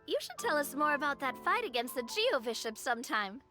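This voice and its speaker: A friendly, playful young lady from a video game. Her pitch is high and swings expressively as she chats. Clear music runs behind the voice. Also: very animated, fairly quick. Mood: friendly, teasing.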